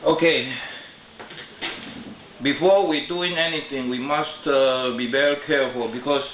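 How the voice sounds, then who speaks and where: Guy doing a diy repair demo, really slow n careful, roomy echo, bit of noise. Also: mid-range voice, steady tone, a bit bright. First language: not English. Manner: halting, careful, step-by-step. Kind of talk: unscripted how-to narration. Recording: echoey room, light noise, clicks.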